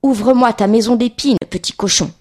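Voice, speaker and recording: A woman's voice: a little high, brisk, darkish tone, close to an everyday voice. A woman voicing a big bad male wolf in a children's storybook, bullying and hungry, with emphatic, chanting threats. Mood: menacing.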